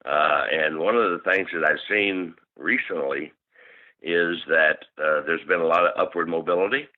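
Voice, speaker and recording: A man's voice: deep voice, moderate pace. A man answering interview questions as a club management consultant, explaining steadily with many fillers. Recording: tinny phone line.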